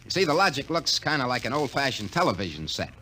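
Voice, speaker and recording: Folksy middle-aged repairman, 1950s radio drama, bright and lively. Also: mid-range voice, fast talker, a little hiss or hum, poor audio. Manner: folksy, chatty salesman patter.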